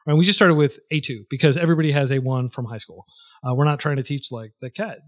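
A man's voice: mid-range voice, fairly even intonation, fast talker. A man talking casually as a podcast guest, rambling with lots of fillers and restarts.

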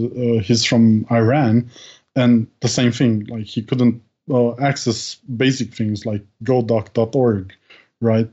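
A man talking on a podcast with a mellow, relaxed voice. He speaks hesitantly, with plenty of fillers and restarts. The recording sounds dull and lo-fi. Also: mid-range voice. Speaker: podcast guest. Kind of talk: casual podcast conversation.

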